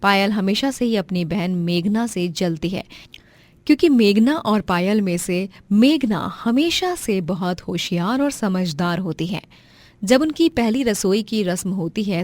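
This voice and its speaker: A woman narrates a scripted story as storyteller. Her voice sits at a medium pitch, and the recording is very dry. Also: expressive, unhurried, a little mellow, dry recording.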